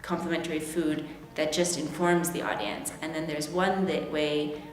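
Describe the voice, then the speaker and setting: Woman speaking in a roomy space, lowish voice. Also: fairly even intonation, moderate pace. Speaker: project team member. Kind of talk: interview reflection. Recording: roomy echo, faint music behind. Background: soft music.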